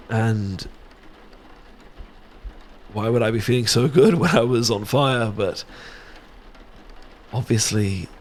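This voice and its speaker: A British man acting a nervous, smitten young man in a romantic roleplay, stammering and halting earnestly as he talks. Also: fairly low pitch, a little hiss or hum. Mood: tender, embarrassed. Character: nervous, smitten young man confessing to his best friend.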